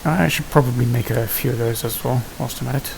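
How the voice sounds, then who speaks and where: A man giving casual gameplay commentary, thinking aloud in a halting mutter. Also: medium pitch, steady tone, vocal fry. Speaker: gaming video host. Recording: hissy home recording, background noise.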